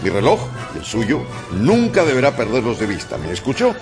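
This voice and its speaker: A man playing a confident, commanding gentleman in a radio drama, giving clipped, imperious orders. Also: medium pitch, wildly swooping pitch, moderate pace, music in the background. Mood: brisk, commanding.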